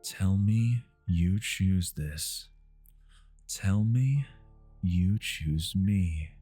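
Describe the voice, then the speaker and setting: A man playing a possessive, commanding immortal vampire in a gothic roleplay ASMR, speaking in a hushed, deliberate, seductive way close to the microphone. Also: sits low, lively intonation, husky, soft background music, exaggerated voice.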